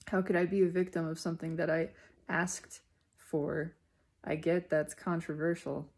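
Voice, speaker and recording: A woman giving an unscripted, reflective spiritual monologue in deliberate, halting phrases with emphatic repetition. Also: on the low side, level tone, a little mellow. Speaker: speaker sharing personal spiritual reflections.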